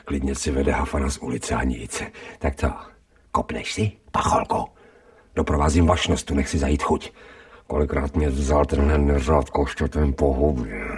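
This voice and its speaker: Deep-voiced, fawning stray dog. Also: male voice, fairly even intonation, breathy edge, dry recording, distant mic, exaggerated voice. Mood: sardonic musing. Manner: slangy, servile to his master, cynical in asides. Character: fawning, streetwise, sardonic stray male dog with human thoughts. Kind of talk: radio drama acting.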